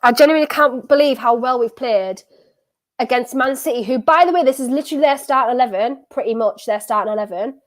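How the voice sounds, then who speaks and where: A woman with a softened County Durham accent, streaming a live football watchalong, talking in an anxious, excitable, rambling way and repeating herself. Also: on the high side, fast. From North East England. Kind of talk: live match reaction chat.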